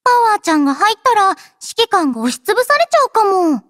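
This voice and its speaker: Anime game android girl, extremely high voice, lively swings, childlike, playful, boastful. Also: fast talker, no room sound, studio quality, full character voice. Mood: playful, offering. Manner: playful, childlike, refers to herself by name. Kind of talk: anime game character voice lines. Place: dry studio.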